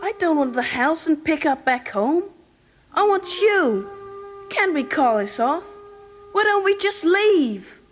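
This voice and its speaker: A woman's voice: sits high, animated, takes it slowly, soft background music. A woman playing an earnest, loyal teenage boy in an audio drama, pleading and urgent. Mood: pleading, upset. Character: earnest, anxious American teenage boy, loyal karate pupil.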